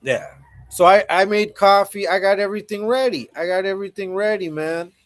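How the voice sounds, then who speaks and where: A man's voice: high-pitched, a little fast. A man in his forties hosting a casual livestream, chatting laid-back with viewers and often repeating himself. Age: 40s. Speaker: livestream host.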